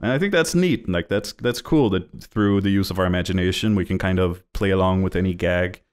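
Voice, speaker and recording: A man's voice: deep voice, a little fast, smooth. A young Danish man co-hosting a podcast, chatty and jokey, with rambling asides. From Denmark. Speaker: podcast co-host. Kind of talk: casual chat.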